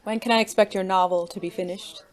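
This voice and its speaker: An actor playing an unflappable, secretive gentleman in a noir audio drama, speaking with teasing formality. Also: medium pitch, fairly even intonation, quick pace, close to an everyday voice. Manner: genteel, arch, formal diction.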